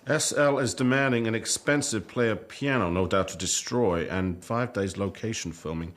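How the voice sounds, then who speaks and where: An actor playing a dignified 1930s comedy film star in a period drama, speaking formally and politely. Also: male voice, on the low side, fairly bright tone, natural voice. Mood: guarded. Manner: formal, polite, measured, proud. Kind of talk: radio-play style period drama acting.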